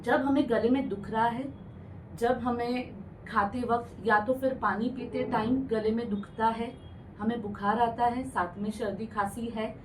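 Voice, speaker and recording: A woman physician with a fairly high voice speaks calmly and plainly, mixing English medical words into her speech. She sounds a bit distant from the microphone. A faint hiss sits behind her. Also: measured pace. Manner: explains patiently, mixes English medical terms into Hindi. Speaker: ENT doctor guest. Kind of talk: radio health interview, explaining plainly. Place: radio studio.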